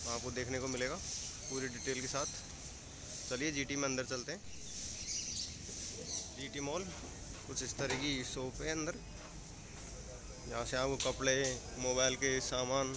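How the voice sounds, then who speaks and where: Chatty young man touring a mall. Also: mid-range voice. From India. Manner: chatty guide, repeatedly addressing friends. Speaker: vlogger. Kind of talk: casual travel vlog narration. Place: outdoors on a motorbike, then inside a busy mall. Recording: loud road and crowd noise.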